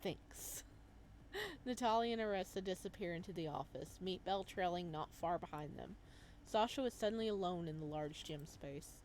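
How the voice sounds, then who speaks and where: A woman reading a visual novel aloud as a let's play host, reacting to the story in an animated way. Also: fairly low pitch, a little hiss or hum, poor audio. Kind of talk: game commentary mixed with read-aloud narration.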